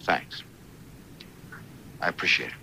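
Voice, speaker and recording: A man's voice: takes it slowly, sharp tone, slight background noise. A man playing an easygoing, mild-mannered and slightly uneasy writer in a 1970s TV detective drama, speaking casually.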